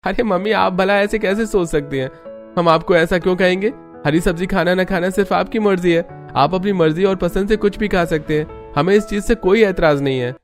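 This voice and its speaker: A performer playing the outspoken grown child of a bickering family in a cartoon, expressive and emphatic. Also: mid-range voice, brisk, faint music behind, natural voice. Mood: reassuring. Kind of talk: Hindi family cartoon voice acting.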